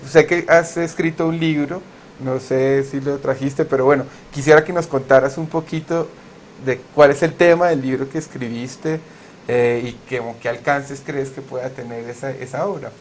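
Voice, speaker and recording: A man's voice: fairly high pitch, steady tone, normal speed, slight background noise. A man hosting a recorded class module, who talks in a friendly, chatty way with frequent fillers and introduces his guest. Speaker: course instructor, host. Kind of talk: hosted class interview.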